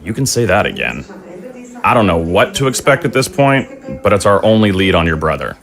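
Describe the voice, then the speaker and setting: Man acting middle-aged father, lowish voice, measured, weary, natural audio drama delivery. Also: big pitch swings, gravelly, a bit bright, full character voice. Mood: reserved, reflective.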